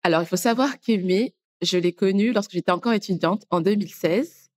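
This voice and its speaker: A woman hosting a podcast, upbeat and clear as she introduces and asks questions. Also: medium pitch, a little fast, darkish tone. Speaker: podcast host. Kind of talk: scripted intro then interview questions.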